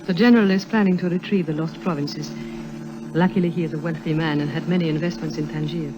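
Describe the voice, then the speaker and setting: Actress as a grave, secretive femme fatale. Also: female voice, deep voice, fairly even intonation, music in the background, lightly acted, rough recording. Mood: grave, confiding. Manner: formal, measured, dramatic. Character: grave, secretive young woman, a warlord's daughter and schemer. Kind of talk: 1950s radio drama acting. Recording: vintage radio drama recording.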